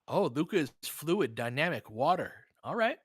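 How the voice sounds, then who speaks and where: A man's voice: fairly high pitch, big pitch swings, normal speed, breathy edge, no room sound. An American man calling basketball play-by-play in an informal, conversational, opinionated way, mixed with casual fan chat. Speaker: play-by-play host of a fan stream.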